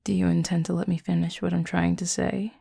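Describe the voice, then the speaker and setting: A woman voicing a confident, flirty werewolf pack leader in an intimate audio roleplay, with a husky, breathy, gravelly voice and a teasing, sardonic drawl. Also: lowish voice, level tone, brisk, dark tone, dry recording, clean studio sound, heavily acted. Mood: fond, teasing.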